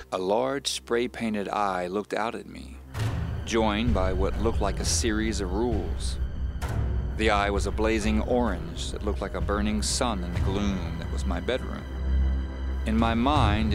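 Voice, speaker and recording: A weary homeowner with a low voice, telling his haunted story in the first person. He is measured, confiding and a little wry, as in a mystery audio drama, with music underneath. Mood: intrigued, uneasy. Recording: voice over music bed. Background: background music.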